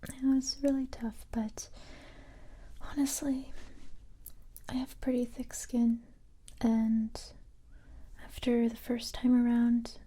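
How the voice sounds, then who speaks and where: A woman speaks in a breathy, very dark and mellow whisper for an ASMR roleplay. Her voice sits fairly high yet stays even, as she plays a tough but gentle recruiter. The delivery is halting and reassuring. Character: capable, tough yet gentle young female special-forces recruiter.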